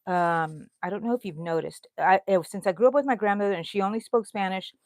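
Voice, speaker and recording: A woman's voice: mid-range voice, a little fast, darkish tone, dry recording. A middle-aged woman from Houston, chatting loosely with her livestream viewers while she crochets and answers their comments. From Houston, Texas. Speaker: crochet channel host.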